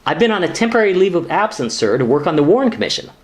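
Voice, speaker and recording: A man's voice: high voice, very animated, very fast. A man playing an earnest, deferential junior lawyer, easily exasperated, in a radio sitcom. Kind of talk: sitcom-style radio play dialogue.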